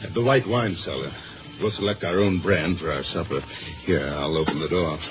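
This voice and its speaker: An actor playing a sly middle-aged office rival in a 1950s radio drama, needling someone with smooth false friendliness. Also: male voice, low-pitched, a little fast, lightly acted. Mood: falsely jovial. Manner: smooth feigned friendliness, taunting insinuation. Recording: tinny old radio broadcast with music.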